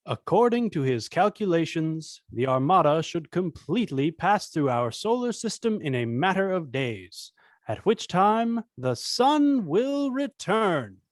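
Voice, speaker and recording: A man playing a smooth-talking, self-important villain politician in a table read, pompous and deliberate. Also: sits high, sing-song, no echo, studio quality. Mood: official.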